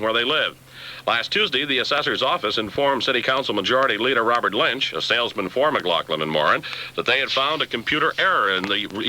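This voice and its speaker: A man's voice: on the low side, pushed and bright, poor audio. A man reading a scripted local bulletin of news, sports and weather on a 1970s AM radio station, taped off air on cassette. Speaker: radio newsreader. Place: radio studio.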